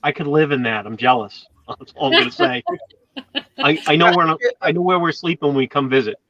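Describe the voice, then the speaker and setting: A man's voice: on the high side, animated, brisk, sharp tone, no room sound, highs cut off. A middle-aged man chatting on a live stream, joking and teasing, with a voice that sounds younger than he is. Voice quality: youthful-sounding voice. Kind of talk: casual live-stream chat.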